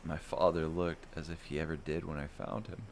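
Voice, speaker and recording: A man narrating a first-person fantasy bedtime story in a measured, dramatic storytelling manner. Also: very deep voice, little pitch movement, slight background noise.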